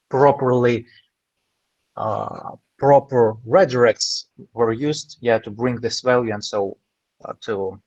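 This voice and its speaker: A man's voice: mid-range voice, no room sound. A man taking part in an online roundtable, explaining an experience hesitantly with many fillers over a video call. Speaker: roundtable participant. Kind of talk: casual online roundtable chat. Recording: dull dry call audio.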